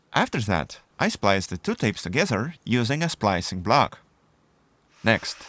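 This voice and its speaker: A man's voice: fairly low pitch, fairly bright tone, dry recording. A man narrating a scripted do-it-yourself tutorial, explaining each step methodically. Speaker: video host explaining a DIY procedure.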